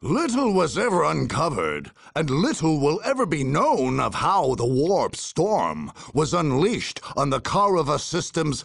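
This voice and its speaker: A man's voice: fairly high pitch, vocal fry, breathy edge, no room sound, clean studio sound. A man delivers grave, theatrical scripted narration in the portentous style of a video game cutscene. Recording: loud music under the voice. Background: loud music.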